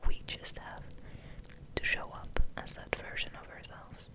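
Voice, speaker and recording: A woman speaks in a breathy, unvoiced whisper as an ASMR host. Her delivery is very slow and gentle, with soothing affirmations and softly repeated words.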